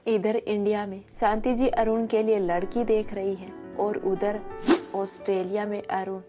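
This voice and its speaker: Medium-pitched woman narrator over music. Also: little pitch movement, measured pace. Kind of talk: scripted story narration.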